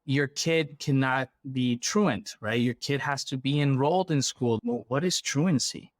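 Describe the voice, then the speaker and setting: A man's voice: mid-range voice, unhurried, no room sound, clean studio sound. A man from Ecuador explaining things conversationally as an interview guest, often checking in with the listener. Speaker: interview guest, refugee program worker.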